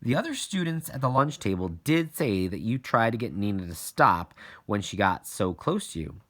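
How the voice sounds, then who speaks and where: A man voicing a firm, patient woman school principal in a children's audiobook, measured and explanatory. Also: lowish voice, sing-song, a bit bright. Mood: firm, calm.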